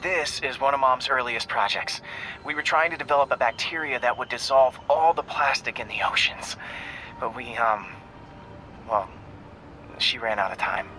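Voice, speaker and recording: Actor as earnest young man, bright voice. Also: medium pitch, normal speed, a little hiss or hum, lightly acted, rough recording. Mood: wistful, hesitant. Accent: American. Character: earnest, hesitant young man sharing his late mother's work. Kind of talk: naturalistic video game acting.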